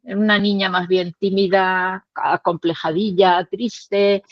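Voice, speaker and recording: A woman's voice: medium pitch, flat delivery, a little slow, dry recording. Middle-aged woman pastor, chatty and reflective with easy laughs; dull, dry recording. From Tenerife, Canary Islands. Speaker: pastor and guest. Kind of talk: warm personal interview conversation. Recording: dull lo-fi sound.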